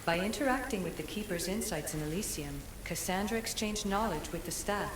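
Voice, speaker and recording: An actress playing a solemn, cryptic ancient guide spirit in a video game, speaking in a formal, portentous manner. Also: female voice, medium pitch, animated, fairly quick, close to an everyday voice. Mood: serene. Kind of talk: video game cutscene acting. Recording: echoing voice with background noise.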